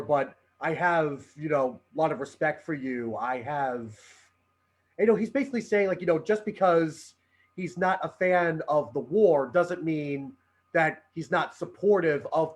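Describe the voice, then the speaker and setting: A man's voice: fairly high pitch, normal speed. A man speaking informally in a panel discussion, paraphrasing as he goes.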